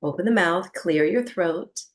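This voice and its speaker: A woman teaching breathwork, giving gentle, unhurried guided relaxation instructions with long pauses between phrases. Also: on the low side.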